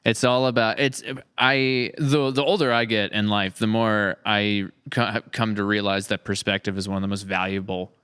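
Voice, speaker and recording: Bright, clear-voiced man chatting. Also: on the low side, fairly even intonation, moderate pace, hardly any pauses. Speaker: podcast host. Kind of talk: casual chat.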